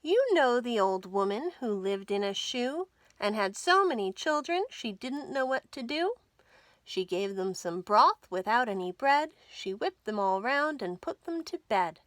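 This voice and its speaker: A woman hosting a children's storytime, reading stories and nursery rhymes aloud in an expressive, clearly enunciated way for young listeners. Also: a little high, measured pace, no room sound. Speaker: children's storytime host at a library.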